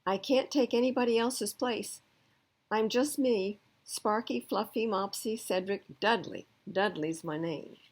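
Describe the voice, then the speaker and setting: A woman's voice: medium pitch, a little slow, breathy edge, voice pushed up. A woman voicing a wry, thoughtful rescue dog in a storybook, with an emphatic, plain-spoken delivery. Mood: indignant, insistent.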